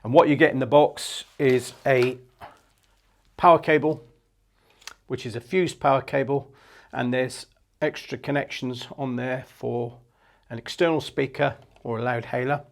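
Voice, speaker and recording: A man's voice: medium pitch, fairly even intonation, unhurried. A man in his fifties giving an unscripted product demonstration, explaining things in a plain, matter-of-fact way into a lapel mic. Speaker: product presenter for a marine equipment shop. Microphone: clip-on lapel mic.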